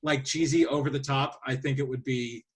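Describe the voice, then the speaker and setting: A man's voice: medium pitch, fairly even intonation, moderate pace. A man co-hosting a podcast, chatting casually and informally with a fan's enthusiasm. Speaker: podcast co-host. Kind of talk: casual panel chat.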